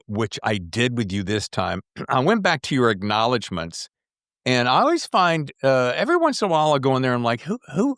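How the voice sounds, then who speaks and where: A man's voice: medium pitch, fairly bright tone, no room sound, clean studio sound. A middle-aged or older man hosting a podcast in a casual, playful and rambling way, with self-teasing asides. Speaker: podcast host.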